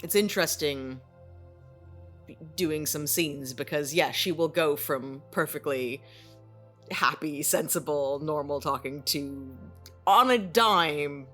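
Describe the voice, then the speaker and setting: A woman with a low-pitched voice chats informally as a podcast co-host. She talks like an actress looking back on a production. Faint music sits behind her speech. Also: slow pace, a bit bright. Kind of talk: casual behind-the-scenes podcast chat.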